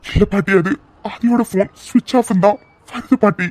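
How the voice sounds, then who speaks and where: A woman's voice: mid-range voice, normal speed, darkish tone, no echo, natural voice. A woman voicing a worried, loyal young man, earnest and anxious, in a melodramatic audio serial.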